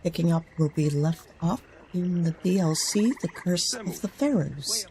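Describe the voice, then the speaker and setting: A man hosting a gaming channel, chatting casually through a playthrough while his voice is rough from sinus trouble. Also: high voice, lively intonation, measured pace, a little mellow, slight background noise. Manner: chatty, talks to the game and himself. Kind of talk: casual game commentary.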